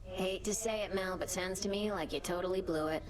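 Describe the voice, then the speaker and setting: A woman's voice: lowish voice, steady tone, fairly quick, a crowd in the background, close to an everyday voice, rough recording. A woman playing a casual, skeptical shade in a game, with a blunt, offhand way of talking. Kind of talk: scripted game voice acting.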